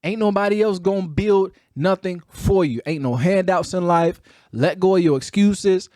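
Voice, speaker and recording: A man with a Chicago accent and a somewhat high-pitched voice speaks as a motivational podcast host. His delivery is colloquial and emphatic, close to preaching, with repeated phrases driven home. The sound is dry, with very little room echo. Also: normal speed, mellow. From Chicago, United States. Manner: emphatic, repetitive, colloquial, drawing out key words.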